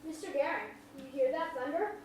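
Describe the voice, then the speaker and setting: A woman's voice: high voice, animated, brisk, darkish tone. A woman playing an earnest, loyal twelve-year-old farm boy in a staged play reading. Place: indoor venue, staged reading. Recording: echoey room from far off, noisy.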